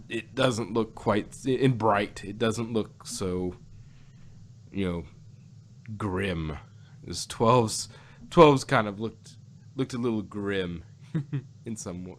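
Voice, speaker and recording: A man chatting casually as a podcast host, rambling and halting, often repeating himself as he gives his opinions. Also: lowish voice, slight background noise. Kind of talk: casual opinionated fan chat.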